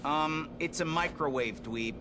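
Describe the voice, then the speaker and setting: Man as a high-pitched New turtle brawler. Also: unhurried, noisy background, lightly acted. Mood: sarcastic, impatient. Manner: gruff, mocking street talk. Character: tough, hot-headed, sarcastic young mutant turtle brawler. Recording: loud music behind.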